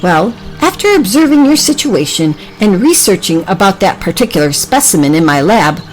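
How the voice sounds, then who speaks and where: A woman's voice: medium pitch, big pitch swings, moderate pace, soft background music, close to an everyday voice, poor audio. A woman voicing a confident, reassuring female secret agent in a children's story.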